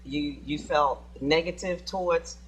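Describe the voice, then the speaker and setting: A man's voice: on the high side, steady tone, measured pace, breathy edge. A man hosting a studio panel discussion in front of an audience, asking probing questions in an informal way and repeating guests' answers back to them. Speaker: talk show host.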